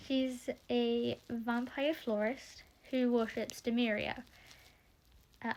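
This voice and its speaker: A young woman introducing the cast of her audio drama in casual chat, rambling and a little croaky from being tired. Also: a little high, darkish tone, rough recording. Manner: chatty, rambling, self-interrupting.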